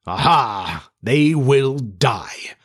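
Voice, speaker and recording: Man playing a menacing, slow-speaking pirate captain. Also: fairly low pitch, a bit bright, breathy edge. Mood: gloating, sly. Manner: slow, dramatic, vengeful. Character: menacing, brooding pirate captain with a haunted dread.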